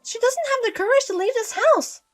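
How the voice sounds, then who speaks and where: A woman voicing a scheming older mother-in-law who talks in a shrill, scornful, domineering way. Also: brisk, soft background music.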